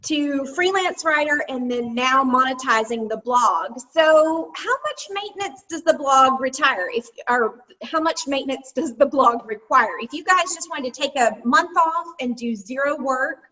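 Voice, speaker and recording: High-voiced woman host on muffled audio. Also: lively intonation, pushed and bright, recorded from a distance. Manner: upbeat, quick questions. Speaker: host, financial consultant. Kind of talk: interview hosting.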